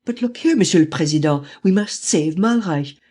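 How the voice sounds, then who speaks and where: A reader voicing a daring, commanding gentleman thief who speaks urgently and forcefully in an audiobook. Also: mid-range voice, moderate pace, close to an everyday voice. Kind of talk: audiobook reading.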